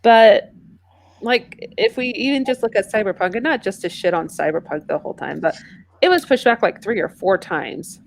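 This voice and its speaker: A woman's voice: mid-range voice, lively intonation, moderate pace, vocal fry, slight background noise. A woman talking casually about video games as part of a podcast panel.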